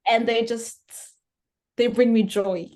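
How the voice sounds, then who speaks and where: A young woman from Rwanda whose first language is Kinyarwanda speaks as a guest on a casual podcast. She talks earnestly and thinks out loud, often restarting her phrases as she works toward her point. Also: a little high, fairly even intonation, slow. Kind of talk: casual conversation.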